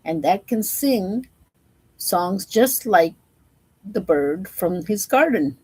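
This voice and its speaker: Slow-paced woman reading children's stories. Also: medium pitch, expressive, a little mellow, slightly rough, dry recording, low-quality recording. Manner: explanatory, addressing young listeners. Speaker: storyteller. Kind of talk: children's storybook read-aloud with simple explanations.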